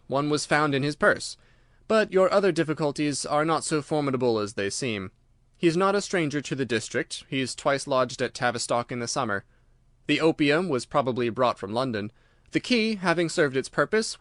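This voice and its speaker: A man voicing an earnest, confident police inspector in an audiobook, courteous and formal, with a brisk, assertive manner. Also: a little high, animated, fairly bright tone, no echo, studio quality. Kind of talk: audiobook character dialogue.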